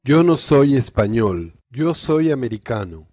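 A man's voice: medium pitch, very animated, warm tone, dry recording. A man modeling short phrases for a language course, speaking each one carefully and then repeating it. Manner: careful, slow model sentences, each said twice.

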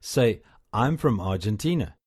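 A man narrating a language course, calmly prompting the learner what to say next. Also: lowish voice, slightly rough. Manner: calm, measured prompting. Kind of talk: scripted instructional narration.

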